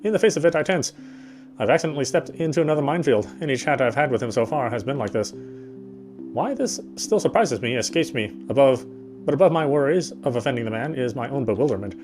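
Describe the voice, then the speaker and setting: A man reading first-person visual novel narration quickly, with frequent slips and corrections. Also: mid-range voice, animated, over music.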